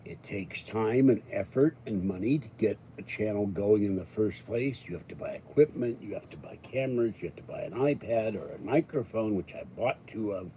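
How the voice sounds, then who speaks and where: Older guy from the states rambling to his channel, kinda deep warm voice, coughs and jokes a lot. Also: lively intonation, normal speed, hardly any pauses, a little hiss or hum. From Pittsburgh, United States. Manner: wandering, jokey asides, frequent throat-clearing and coughs. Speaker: YouTube channel host. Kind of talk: rambling casual monologue to viewers.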